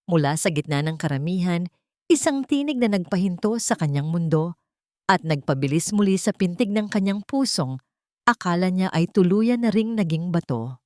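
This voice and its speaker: A woman narrating a dramatic audio story in a measured, steady way. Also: fairly low pitch, no room sound, studio quality.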